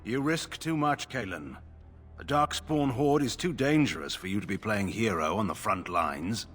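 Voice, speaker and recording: An actor playing a grim, distrustful older general in a video game, speaking in a stern, scornful manner. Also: male voice, fairly low pitch, animated, moderate pace, fairly bright tone, soft background music.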